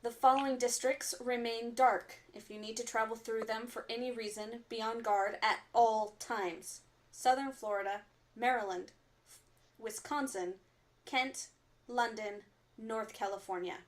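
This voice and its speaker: A woman's fairly high voice delivers formal underground radio bulletins as an acted role. She is composed and stern, measuring each phrase like an official announcer in a sci-fi radio drama. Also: fairly even intonation, unhurried, natural voice. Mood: grave, official.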